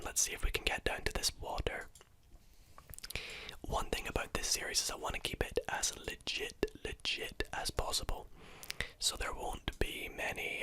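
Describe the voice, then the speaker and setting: A host whispering gameplay commentary, softly musing and thinking aloud while exploring a map. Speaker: video host playing a geography guessing game.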